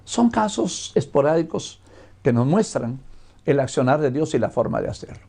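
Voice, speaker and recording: An older man with a Guatemalan accent giving a religious lecture in a deliberate, expository manner, as a missionary teacher would. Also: fairly high pitch, sing-song, creaky, dark tone, slightly rough.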